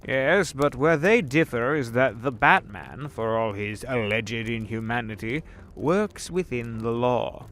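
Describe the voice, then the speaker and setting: An urbane, aged devil played by a male actor speaks in a leisurely, theatrical way, with a very lively rise and fall. He is courteous and sly, savoring every phrase as in a radio play. There is clear noise behind the voice. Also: mid-range voice. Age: older. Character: urbane, sly old devil hosting elite parties. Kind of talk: audio drama dialogue.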